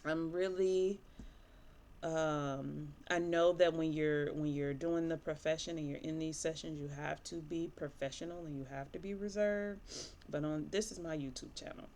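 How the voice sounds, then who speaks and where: A woman's voice: low voice, fairly even intonation, moderate pace, darkish tone. A woman in her thirties, a therapist from Michigan, talking candidly and casually to camera with plenty of fillers while sniffly from allergies. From Michigan, USA. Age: 30s. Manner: chatty, candid, rambling with fillers. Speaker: licensed therapist.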